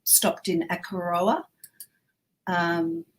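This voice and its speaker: A chatty woman with a mostly Australian accent that carries traces of several others. She co-hosts a relaxed chat and laughs easily. A faint hiss sits under her voice. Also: on the low side, drawn-out words. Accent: Australian mixed with others. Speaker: co-host. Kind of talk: casual chat.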